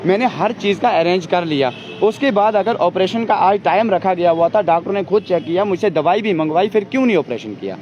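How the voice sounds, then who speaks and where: A man's voice: high-pitched, animated, darkish tone, slight background noise. A man and father pleading urgently outdoors about his sick baby's treatment, in a news interview. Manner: urgent, pleading, rapid complaints. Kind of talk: distressed street interview. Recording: dull lo-fi outdoor news audio.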